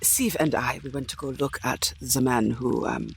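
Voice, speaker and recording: A man playing a tabletop game in character, speaking hesitantly with careful, polite phrasing and a husky, creaky voice. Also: mid-range voice, steady tone, moderate pace, a little hiss or hum. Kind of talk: improvised in-character game talk.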